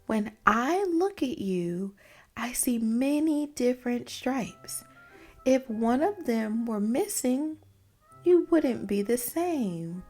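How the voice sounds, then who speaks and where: A woman voicing a gentle, reassuring mother zebra in a storybook, with a soft, soothing delivery. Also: on the high side, expressive, takes it slowly. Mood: tender, reassuring. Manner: tender, soothing. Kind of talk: storybook character voice. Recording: music behind the voice.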